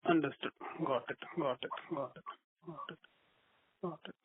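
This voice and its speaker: A narrow, phone-quality line carries the voice of a man. He is an analyst putting questions on a conference call. His pitch sits somewhat high and his phrasing is hesitant and broken up. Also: moderate pace, slight background noise. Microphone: telephone conference call.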